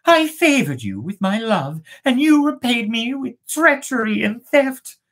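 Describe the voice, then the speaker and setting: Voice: medium pitch, sing-song, a little slow, a bit bright, pitched above the performer's own voice, full character voice. A storyteller voicing a vengeful young witch maiden from a fairy tale, with commanding, theatrical, scornful menace. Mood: vengeful, menacing. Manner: commanding, theatrical villain speech.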